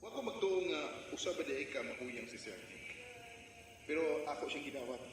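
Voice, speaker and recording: A man's voice: medium pitch, sing-song, a little fast, background noise, natural voice. An actor playing a shy, respectful young male student in a radio drama, hesitant and deferential, with a Cebuano accent. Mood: warm, accepting.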